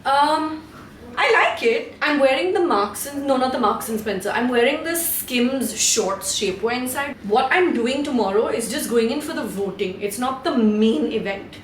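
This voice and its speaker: A woman's voice: high-pitched, lively intonation, moderate pace, echoey room, slight background noise, rough recording. Chatty Indian woman in her thirties. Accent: Indian English. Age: 30s. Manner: chatty, candid, swears and mixes in Hindi. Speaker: vlogger. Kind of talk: casual chat to camera. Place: room at home, indoors.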